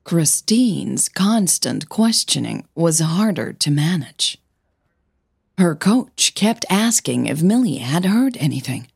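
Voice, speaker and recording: A woman narrating scripted audio fiction as a podcast storyteller, with measured, deliberate phrasing and short pauses. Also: fairly low pitch, very animated, vocal fry, darkish tone, slightly rough, no echo, clean studio sound.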